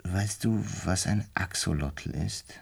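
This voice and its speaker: Resigned radio-play man, very low pitch, husky, musing, hesitant, intimate narration. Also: slow, no echo, heavily acted. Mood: earnest explaining. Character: pensive, resigned man recounting a biological catastrophe. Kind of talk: intimate reflective radio drama narration and dialogue.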